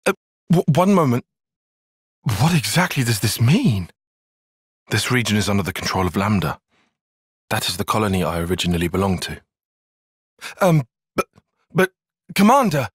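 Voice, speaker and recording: Precise young British tactician. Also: male voice, lowish voice, very animated, husky, dry recording. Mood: alarmed, flustered, then cautious, analytical. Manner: measured, formal, articulate, dry. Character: young male tactician soldier, bookish, cautious, precise, exasperated. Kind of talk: video game cutscene voice acting.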